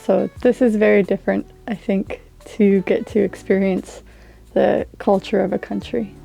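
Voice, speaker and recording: Creaky, dark-voiced woman. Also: mid-range voice, flat delivery, moderate pace, faint music behind, rough recording. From United States. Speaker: international language student. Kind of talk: interview answers.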